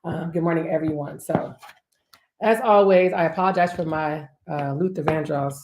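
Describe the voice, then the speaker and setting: A woman with a very low voice, rougher than usual this morning, leads a live-streamed devotional. She talks in a chatty, upbeat way, greeting viewers and stretching out phrases for emphasis, and the sound rings around an echoey room. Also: normal speed, darkish tone, slight background noise. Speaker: life coach and preacher hosting a daily livestream.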